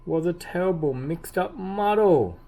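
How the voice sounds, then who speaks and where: A man reading a children's story aloud expressively, with deliberate emphasis and drawn-out key words. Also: high voice, lively intonation, dark tone. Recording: clearly audible background noise.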